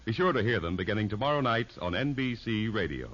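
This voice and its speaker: A man's voice: fairly low pitch, lively intonation, fast talker, fairly bright tone. An American man reading a scripted promo as a 1950s radio network announcer. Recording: dull old radio recording.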